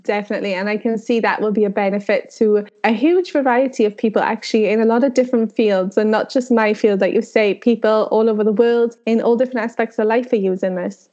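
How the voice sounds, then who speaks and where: A woman's voice: fairly high pitch, steady tone, fast, mellow, muffled recording. A woman with a Welsh accent hosting an interview, affirming her guest's answers and asking long, framed questions. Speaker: interview host, works with children.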